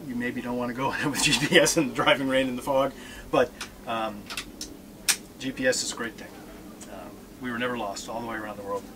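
A middle-aged man giving a relaxed, joking talk about sailing to an audience, standing aboard a catamaran in a marina with notes in hand. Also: medium pitch, background noise. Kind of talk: casual presentation to an audience. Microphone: far camera.

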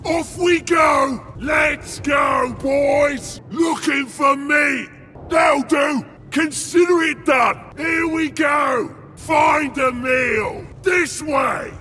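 An actor voicing a huge, brutish, ravenous male ogre warrior in a video game, barking short blunt war cries in a gruff, gravelly voice. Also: very high voice, drawn-out words, pushed and bright, raised voice, a little hiss or hum, exaggerated voice. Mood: eager, rowdy. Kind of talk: video game unit battle barks.

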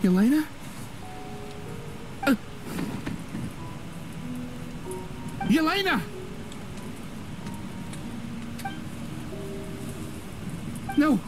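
A man playing a grief-stricken, guilt-haunted man in a video game, speaking in a halting, anguished way. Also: very high voice, big pitch swings, heavily acted, poor audio. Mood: desperate pleading. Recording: music behind.

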